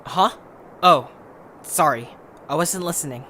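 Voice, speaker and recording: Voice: medium pitch, unhurried, pushed and bright. A performer voicing an apathetic young male creature, a sarcastic, whiny brother in an amateur audio drama. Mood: indifferent. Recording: noticeable background noise.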